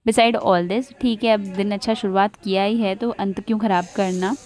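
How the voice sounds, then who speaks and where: A woman's voice: mid-range voice, steady tone, moderate pace, a little mellow. A woman in her thirties chatting through a home cooking vlog, slipping English phrases into her talk. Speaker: cooking vlogger. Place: home kitchen, indoors.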